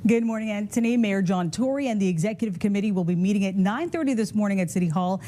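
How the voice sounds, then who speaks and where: A woman delivering a news report from a newsroom, clear and informative. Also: mid-range voice, quick pace, dark tone.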